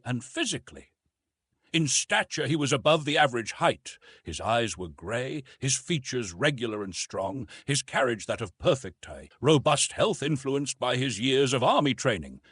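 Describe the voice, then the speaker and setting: A man narrating a classic adventure novel in a measured, formal storytelling manner. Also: medium pitch, a bit bright, breathy edge, no echo, clean studio sound. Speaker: audiobook narrator. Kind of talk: scripted literary narration.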